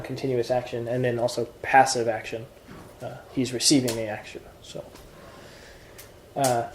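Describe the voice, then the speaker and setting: A man's voice: mid-range voice, a little mellow, reverberant, slight background noise. A man teaching introductory Greek grammar in an informal lesson, explaining patiently step by step with examples. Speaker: Greek tutor.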